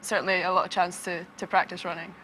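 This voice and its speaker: A woman's voice: fairly low pitch, flat delivery, quick pace, sharp tone, slight background noise, rough recording. A woman in her twenties, a quiz show contestant, who chats casually and reasons her answers out aloud with small fillers. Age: 20s.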